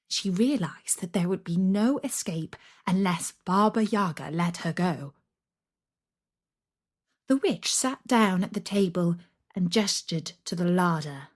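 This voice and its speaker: A woman tells a scary children's story as a scripted narration. Delivery is very slow and measured, with a soft-edged husky breathiness and lively, sweeping intonation. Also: medium pitch. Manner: expressive, measured.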